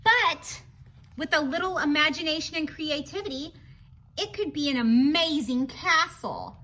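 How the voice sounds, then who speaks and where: A woman's voice: high-pitched, unhurried. A woman reading a picture book aloud to young children, chatting to them directly with questions and encouraging asides. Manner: animated, questioning, encouraging, addresses listeners directly. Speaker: children's read-aloud presenter.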